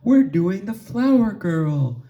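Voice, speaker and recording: A man's voice: sits high, sing-song, slow, phone-quality sound, pitched above the performer's own voice, heavily acted. A man voicing an eager, dramatic little girl in a storybook, earnest and emphatic.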